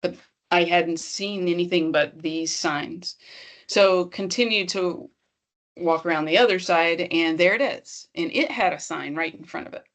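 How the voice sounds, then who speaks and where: A woman's voice: on the low side, unhurried, a bit bright. A woman presenting an online accessibility workshop in a conversational, encouraging way, speaking straight to her audience.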